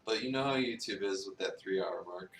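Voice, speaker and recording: A man's voice: deep voice, fairly quick, a bit bright. A man streaming a visual novel with a co-host, chatting casually between stretches of reading aloud. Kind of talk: casual chat and dramatic reading. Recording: echoey room, distant mic.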